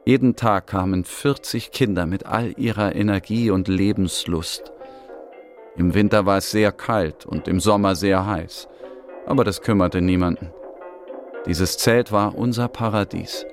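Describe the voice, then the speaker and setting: Earnest Syrian puppeteer, lowish male voice. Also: a little slow. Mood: wistful, narrating. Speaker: narrator and lead in a radio drama. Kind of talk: scripted radio-drama narration, German voice-over of an Arabic speaker. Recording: music behind the voice. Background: music.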